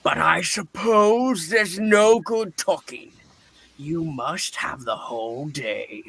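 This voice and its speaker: A man's voice: on the high side, slow pace, creaky, a bit bright, breathy edge, no echo, full character voice. A man playing a grumpy, miserly old businessman, curt and scornful, in a theatrical radio play reading. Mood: indignant.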